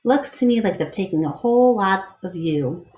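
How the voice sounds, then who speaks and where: A woman's voice: mid-range voice, expressive, normal speed, dark tone, echoey room, lightly acted. A woman voicing a small, casual, wry male dog who is a loyal friend in a storybook.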